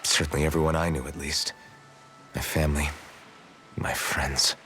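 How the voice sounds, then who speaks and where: Very low-voiced brooding young hero. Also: male voice, steady tone, fairly bright tone, a little hiss or hum. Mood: somber. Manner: subdued, reflective, halting. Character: brooding young male hero, self-doubting, regained lost memory. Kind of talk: English video game dub, dramatic cutscene dialogue.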